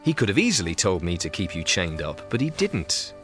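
Earnest english sea captain, low voice, heartfelt pleading, radio play with music behind. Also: male voice, wildly swooping pitch, a little fast, a bit bright, heavily acted. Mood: sincere, apologetic. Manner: sincere, pleading. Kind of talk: radio drama acting.

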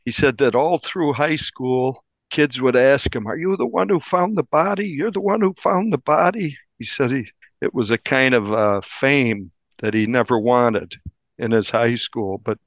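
A man's voice on a dull, dry recording, at an average pitch. He talks as a reporter being interviewed, telling a story conversationally. His delivery is measured and descriptive. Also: no room sound. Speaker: newspaper reporter, interview guest. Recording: dull, band-limited audio.

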